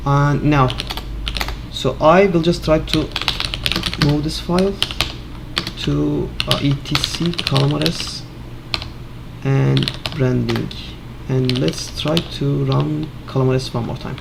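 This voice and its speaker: A man casually thinking aloud in an office while fixing software, halting with many filler sounds. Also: a little high, steady tone, creaky, heavy background noise. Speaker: Linux developer screencasting.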